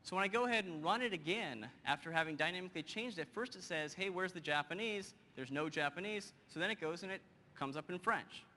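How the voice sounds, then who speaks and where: Energetic man demoing software on a stage. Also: high voice. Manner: energetic, quick and joking with the audience. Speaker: presenter. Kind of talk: live software demo on stage. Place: large conference hall stage. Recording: hall reverb, faint noise.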